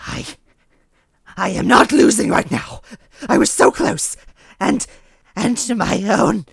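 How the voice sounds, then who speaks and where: A man's voice: high voice, animated, fairly bright tone, dry recording, pitched above the performer's own voice, full character voice. A man voicing an evil old witch, a defiant sore loser, screechy and hammy, in a story reading. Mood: outraged disbelief. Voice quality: screechy, gravelly. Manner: hammy, sputtering, drawn-out outrage.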